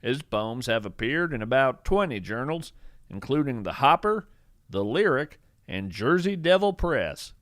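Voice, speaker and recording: A man playing an eccentric, earnest naturalist who hosts a scripted fiction podcast, speaking in a measured, theatrical, thoughtful way. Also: mid-range voice, very animated, fairly bright tone, no echo, clean studio sound. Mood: warm, enthusiastic. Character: eccentric male naturalist host, musing, earnest, whimsical. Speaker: podcast host and narrator. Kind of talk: scripted fiction podcast narration with poetry readings.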